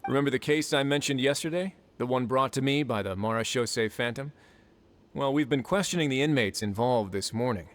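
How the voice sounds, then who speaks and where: Man voicing a composed, commanding duke, mid-pitched. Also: natural voice. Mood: composed, shrewd. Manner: measured, commanding, dryly matter-of-fact. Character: composed, shrewd, authoritative male duke in charge. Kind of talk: video game voice acting. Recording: background music under the voice.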